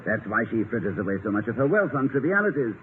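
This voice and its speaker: A man's voice: mid-range voice, big pitch swings, quick pace, lightly acted. A dignified, slightly fussy middle-aged academic husband in a vintage radio comedy, acted by a man. His cultured voice moves freely up and down and stumbles bashfully. The sound is thin and narrow, with light hiss. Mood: genteel, wry. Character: dignified, bashful college president husband, easily flattered. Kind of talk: 1950s radio comedy acting. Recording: tinny, noisy 1950 radio recording.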